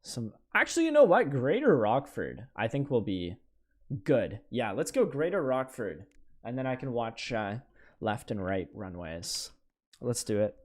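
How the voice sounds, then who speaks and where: A young man from Canada, live-streaming a flight game and chatting with viewers in a stammering, excitable way. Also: medium pitch, unhurried. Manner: animated, stammering, talks to chat. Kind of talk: live gameplay stream chat.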